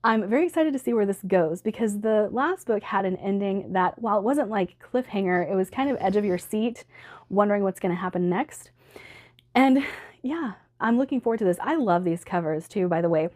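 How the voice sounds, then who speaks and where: Upbeat woman chatting about books, a bit hoarse, laughs easy, mid pitch. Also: animated, a little fast, darkish tone. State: slightly hoarse from allergies. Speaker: book vlogger. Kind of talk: casual chat to camera.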